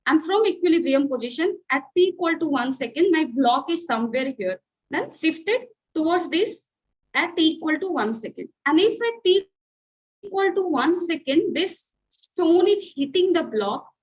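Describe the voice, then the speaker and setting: A woman's voice: high-pitched, low-quality recording. A young Indian woman teaching physics in an online video class, mixing English and Hindi and often asking "right?" to check understanding. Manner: switches between English and Hindi, asks checking questions.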